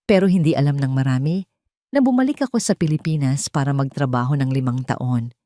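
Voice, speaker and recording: A woman with a Filipino accent voicing a heartbroken, gentle young man who confides his past in an audio romance story. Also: rumbling low, fairly quick, no room sound, clean studio sound, voice pushed down. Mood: wistful. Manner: confessional, code-switching into English.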